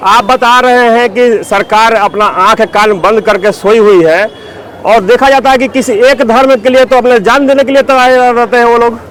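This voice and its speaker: A man with a very high-pitched voice interviews someone as a street reporter. He speaks in questioning news-interview style, recorded outdoors. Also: steady tone, moderate pace, fairly bright tone, low-quality recording.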